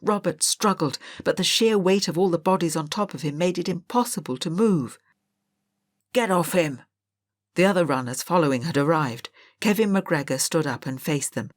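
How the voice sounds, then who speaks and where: Low-pitched woman narrating a storybook. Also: no echo, clean studio sound. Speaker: audiobook narrator.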